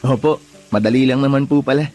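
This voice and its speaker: An actor playing a polite, eager nineteen-year-old vendor trainee in a Filipino radio drama, deferential and earnest, with a Filipino accent. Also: male voice, medium pitch, fast, a little mellow, lightly acted. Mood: tentative, polite. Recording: music playing behind the voice.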